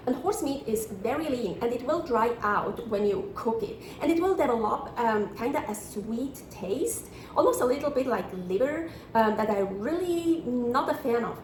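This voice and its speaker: Chatty woman from Switzerland, slightly high. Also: lively intonation, normal speed, hardly any pauses, slight background noise. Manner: enthusiastic, chatty, emphatic. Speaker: vlogger. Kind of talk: casual vlog narration. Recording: roomy echo.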